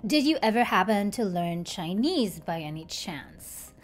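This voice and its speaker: A woman in her thirties talks straight to camera at a desk mic in a bright living room. She is chatty and goes through her points step by step, with a middle-pitched voice. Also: big pitch swings, unhurried, a little hiss or hum. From Germany. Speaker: YouTuber. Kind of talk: casual talk to camera. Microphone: desk microphone in front.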